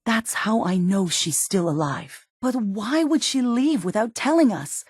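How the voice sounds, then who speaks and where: A worried mother in middle age, weary and fiercely protective of her family. Her voice has a medium pitch with a slightly husky grain. She speaks in earnest, halting, emotional phrases, recorded dry as post-apocalyptic video game dialogue. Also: animated, dry recording. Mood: worried, reflective. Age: middle-aged. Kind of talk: dramatic video game voice acting.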